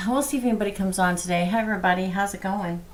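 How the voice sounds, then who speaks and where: A hoarse woman who is losing her voice, hosting a livestream reading and chatting softly and slowly. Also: medium pitch, noisy background. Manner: soft-spoken, slow and chatty. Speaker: tarot reader hosting a livestream. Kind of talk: casual livestream chat.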